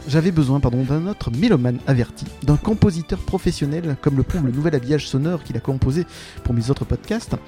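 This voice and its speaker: A man presents a live show as its host. His voice sits a bit high, and he delivers introductions with playful, exaggerated grandeur. Music plays softly behind him. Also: a little fast. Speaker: podcast host. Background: background music.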